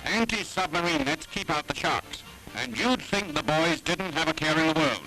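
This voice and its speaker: Male 1940s newsreel announcer, American, higher register, dramatic delivery, music underneath. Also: expressive, moderate pace, bright, forceful tone, poor audio. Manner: dramatic, declamatory. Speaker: newsreel narrator. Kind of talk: 1940s newsreel scripted narration.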